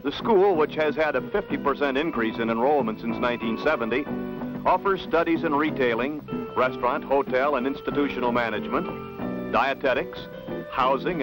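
A man's voice: mid-range voice, low-quality recording. An American man reading scripted newsreel narration as an announcer. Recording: 1970s film soundtrack with music. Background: music.

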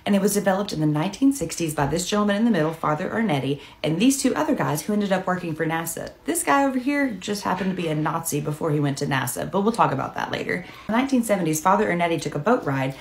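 A woman's voice: lowish voice, very animated, fast talker. A woman in her thirties telling a story as a casual explainer, with emphatic, dramatic delivery.

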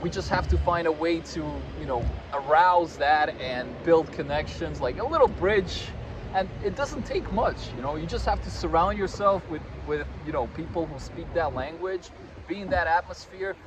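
A man's voice: a little high, normal speed. A man in his thirties from Croatia, chatting casually as a guest at a park table, with lots of fillers and self-deprecating asides. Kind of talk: casual conversation. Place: park table outdoors on a sunny day. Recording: outdoor traffic noise.

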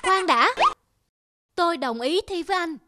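A woman voicing a small, brave and earnest sparrow in an animated fable. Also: very high-pitched, a little slow. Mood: defiant. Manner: earnest, protesting. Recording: loud music behind the voice. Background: music.

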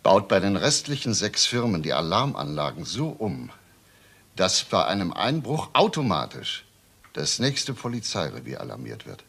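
A man acting a calm, sly chief inspector in a crime radio drama, with a dry, needling manner. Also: low voice, creaky, exaggerated voice. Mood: measured, determined. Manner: dry, needling, unhurried questioning.

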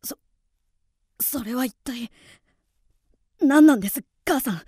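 Intense, high-voiced vengeful boy. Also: warm tone, slightly rough, no echo, clean studio sound, heavily acted. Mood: shaken. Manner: intense, halting, emotional. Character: earnest vengeful teenage son of a murdered swordsmith. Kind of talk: theatrical radio drama acting. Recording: music behind the voice.

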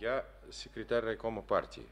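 Brief: a calm, self-assured party secretary, low voice with lively pitch. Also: male voice, moderate pace, creaky, heavily acted. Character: calm, confident male district party secretary. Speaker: district party secretary Telegin. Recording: old studio radio play recording.

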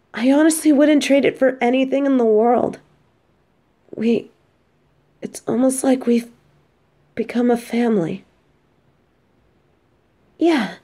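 A woman's voice: on the high side, warm tone. A woman playing an affectionate, teasing supervillain girlfriend in an intimate roleplay audio drama, speaking tenderly and playfully. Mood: tender, content.

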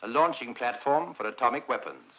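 An actor as a hard-nosed, insistent man of defence in a 1950s film drama. He talks quickly in a forceful, animated voice. The old soundtrack sounds muffled. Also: medium pitch, close to an everyday voice. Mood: insistent, grim.

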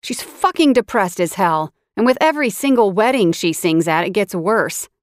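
A woman's voice: mid-range voice, lively intonation, unhurried, a bit bright, smooth, dry recording, pitched above the performer's own voice. A woman reads an angry young man who swears in every breath, protecting his hurt cousin. His lines come out hard and biting. The sound is dry and close. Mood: furious.

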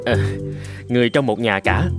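A man voicing a kind, careful young eldest son in an animated folktale, courteous and earnest. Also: medium pitch, moderate pace, over loud music, natural voice. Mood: friendly concern.

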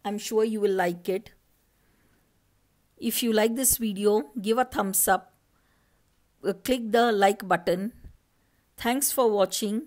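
A woman's voice: mid-range voice, darkish tone. A woman from India, narrating a recipe step by step as a cooking channel host over her footage. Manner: slow, step-by-step instructing.

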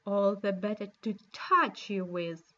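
A woman's voice: medium pitch, slow pace, a bit bright, dull audio, pitched below the performer's own voice. A woman putting on a sly scheming wolf. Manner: sweet-talking, scheming. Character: cunning sly male wolf.

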